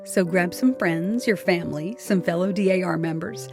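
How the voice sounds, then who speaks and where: A woman's voice: lowish voice, moderate pace. Friendly lady host reading promos, music going underneath. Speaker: podcast host. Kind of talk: scripted announcement and interview questions. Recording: music playing behind.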